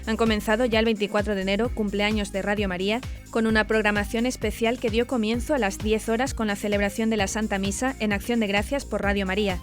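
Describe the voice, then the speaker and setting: A woman's voice: medium pitch, level tone. A woman news presenter, reading a script formally and evenly. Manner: formal, measured newsreading. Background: clearly audible background music.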